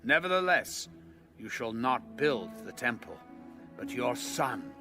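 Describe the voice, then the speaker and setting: A man's voice: mid-range voice, big pitch swings, takes it slowly. An actor playing a reverent king in an audio Bible drama, addressing his people in a stately, declamatory way. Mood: solemn, proclaiming. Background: music.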